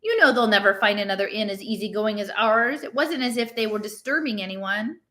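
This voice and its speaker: Reader as a sharp-tongued, forceful innkeeper's wife. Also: female voice, medium pitch, fairly quick, lightly acted. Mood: angry. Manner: brusque, snapping. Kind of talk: expressive storybook read-aloud.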